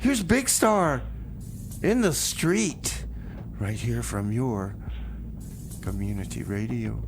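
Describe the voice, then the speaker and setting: A man's voice: medium pitch, expressive, slow pace, noisy background. Easygoing male radio DJ. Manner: easygoing, enthusiastic, back-announces songs. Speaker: radio DJ and host. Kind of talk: comedic community radio banter.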